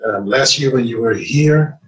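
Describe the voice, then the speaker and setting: A man's voice: medium pitch, dull audio. Curaçaoan man, echoey and distant. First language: Papiamento. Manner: measured, with frequent fillers. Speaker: wellness retreat organizer. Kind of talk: interview answers.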